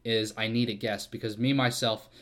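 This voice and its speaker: Guy rambling through a solo podcast, bright voice, kinda monotone, swears a lot. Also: on the low side, normal speed. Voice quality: fairly monotone delivery. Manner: rambling, profane, self-deprecating, many fillers. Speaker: podcast host. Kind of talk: casual solo podcast rant.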